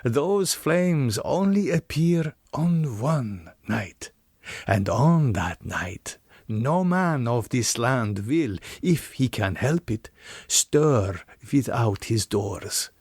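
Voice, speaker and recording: Old nobleman vampire, slow, deliberate, courtly, proud, menacing, gothic audiobook delivery, dry sound. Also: medium pitch, expressive, darkish tone, husky, no echo, full character voice. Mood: scornful, ominous. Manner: slow, grandly formal, deliberate phrasing. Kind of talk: gothic audiobook character reading.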